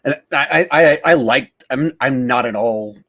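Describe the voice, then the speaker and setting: A man's voice: mid-range voice, unhurried, fairly bright tone. Man chatting casually, rambling as he thinks. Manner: rambling, thinking aloud with stammers and repeated words. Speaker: podcast host. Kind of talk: casual film discussion.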